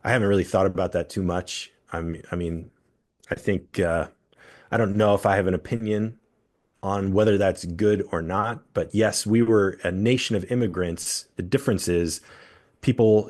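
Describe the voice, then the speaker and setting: A man hosting a podcast, explaining his views at length in a measured, steady way. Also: low-pitched. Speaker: podcast host. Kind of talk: casual political discussion.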